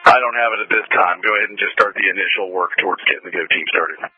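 A man's voice: deep voice, fast, slightly rough, dry recording. A man speaking as a fire incident commander in businesslike, directive radio traffic. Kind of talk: emergency radio dispatch traffic. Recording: noisy dull emergency radio transmission.

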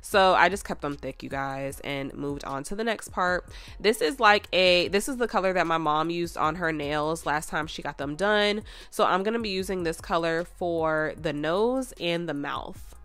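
Hoarse, stuffed-up woman vlogger. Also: lowish voice, moderate pace, few pauses, fairly bright tone, no echo. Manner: chatty and rambling, full of "like" and "you guys". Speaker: nail art vlogger. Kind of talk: casual nail art tutorial.

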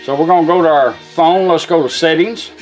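A middle-aged man with a fairly high-set voice walks through a product demonstration. He is plain-spoken and instructive, going one step at a time in an informal way. Some music plays faintly behind him. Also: a little fast, rough recording. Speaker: vintage car radio technician demonstrating a product. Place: small indoor workshop at a bench.

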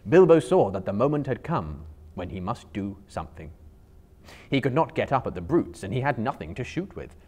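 A man's voice: mid-range voice, big pitch swings, normal speed, a little mellow. A man in his thirties reads a story aloud with theatrical flair. His intonation swings widely, and his phrasing is careful and emphatic. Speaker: storyteller performing a dramatic reading. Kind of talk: dramatic storybook narration. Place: indoor bookstore room lined with shelves.

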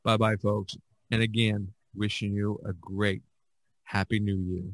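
A man hosting a casual podcast discussion, speaking in halting, hesitant phrases. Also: fairly low pitch, flat delivery. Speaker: podcast host.

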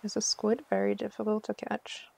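Casting: female game streamer, mellow tone with vocal fry, chatty and mock-exasperated, thinking aloud. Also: mid-range voice, little pitch movement, normal speed, rough recording. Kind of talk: casual live game stream commentary.

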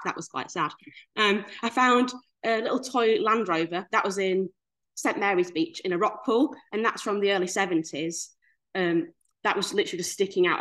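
A woman in her twenties with a Yorkshire accent, giving a chatty, good-humoured presentation over a video call. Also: medium pitch. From Leeds, Yorkshire, England. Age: 20s. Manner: chatty, lively, self-deprecating humour. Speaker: guest speaker. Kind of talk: casual webinar presentation.

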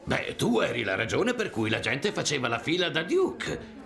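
A man playing a big, pompous, doting rich father in an animated video game, booming and grandiloquent. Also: normal speed, creaky, heavily acted. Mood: jovial, proud.

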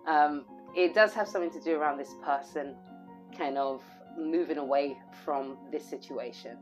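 A hoarse woman giving an intuitive tarot reading, rambling and repeating phrases with plenty of fillers. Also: low voice, normal speed, faint music behind, low-quality recording. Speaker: tarot reader.

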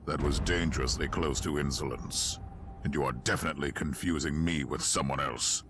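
A man's voice: extremely low pitch, fairly even intonation, moderate pace, a bit bright, a little hiss or hum. A man acting a haughty, zealous imperial inquisitor in a video game, speaking in a clipped, imperious way. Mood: stern.

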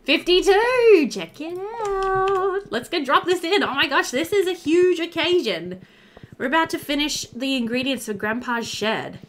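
A woman in her thirties giving casual, chatty let's-play commentary, animated and thinking aloud. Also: extremely high pitch, a little slow. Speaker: gaming video host. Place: indoor room.

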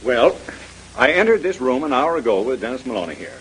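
An actor playing a courteous, cautious museum director in a 1930s radio drama. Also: male voice, medium pitch, sing-song, brisk, fairly bright tone. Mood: measured, grave. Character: courteous, cautious museum director, middle-aged man of authority. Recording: noisy vintage radio transcription.

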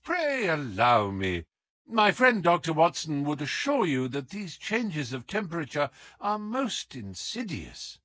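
A male narrator plays a suave gentleman detective of classic storybook fiction. He is affable, formal and teasing, with swooping intonation lifted above an ordinary talking pitch. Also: wildly swooping pitch, measured pace, no room sound, clean studio sound, heavily acted.

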